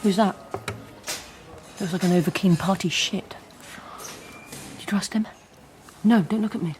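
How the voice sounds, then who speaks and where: A woman's voice: mid-range voice, measured pace, darkish tone, slight background noise, close to an everyday voice. An actress playing a bold, secretive young rebel woman in a radio drama, giving clipped, bossy instructions. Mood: furtive, curt. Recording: music behind the voice.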